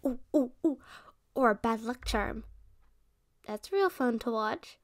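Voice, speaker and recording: A woman's voice: a little high, animated, takes it slowly. A woman playing a protective, playful resurrected witch in an ASMR roleplay, speaking in a sweet, theatrical way. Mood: mischievous.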